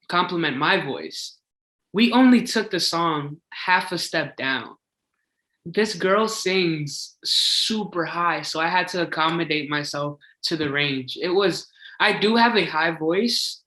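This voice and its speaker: A young man talks eagerly about his singing, his voice bright and a bit high for a man. His chatty answers are full of filler words, and the sound rings with room echo. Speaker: singing contestant. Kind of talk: casual interview answers. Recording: echoey room.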